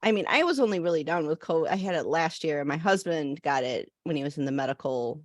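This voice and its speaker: A woman co-hosting a casual chat, talking in a sympathetic, expressive way. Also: sits low, fast talker, no room sound, clean studio sound. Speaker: podcast co-host.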